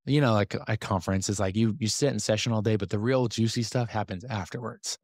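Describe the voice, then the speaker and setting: A man hosting a business podcast, talking casually to his community with a slightly hoarse voice and lots of fillers and asides. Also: fairly low pitch, fairly quick, no room sound, studio quality. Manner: energetic, chatty, many fillers and asides. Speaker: podcast host and business coach. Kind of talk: casual talk to a community call.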